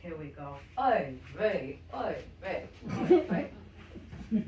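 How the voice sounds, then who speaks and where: Actress plays an anxious, doting grandmother: lively pitch, echoey distant stage sound. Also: slow pace. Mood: frantic. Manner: anxious, exclaiming, repeats herself.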